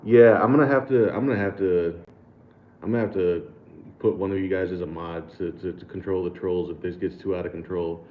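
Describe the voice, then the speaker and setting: A man's voice: deep voice, steady tone, a little fast. Laid-back American man on a tinny echoey stream. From United States. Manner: laid-back, laughs often, repeats words and fillers. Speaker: livestream host. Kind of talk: casual livestream chat reading super chats.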